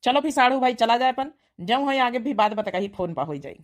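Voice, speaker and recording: A woman's voice: fairly high pitch, expressive, brisk, close to an everyday voice. A woman voicing a practical village uncle playing matchmaker in a cartoon comedy, plain-spoken and reassuring, with an Awadhi accent. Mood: friendly, reassuring. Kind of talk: cartoon comedy dialect acting.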